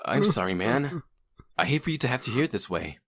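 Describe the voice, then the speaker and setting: A man's voice: medium pitch, very animated, fairly quick, a bit bright. A man playing a streetwise young survivor who guides a newcomer in a dubbed comic drama. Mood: grave, explaining.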